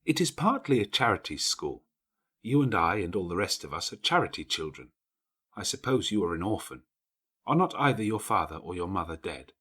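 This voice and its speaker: A man voicing a studious orphan schoolgirl who explains things in a measured, matter-of-fact way for an audiobook. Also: low voice, big pitch swings, sharp tone, husky, exaggerated voice. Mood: matter-of-fact, patient.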